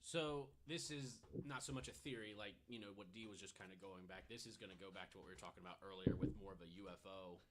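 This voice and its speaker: A man's voice: deep voice, fairly even intonation, fast, few pauses. A man on a podcast panel telling a story casually, hedging often with "you know" as he explains.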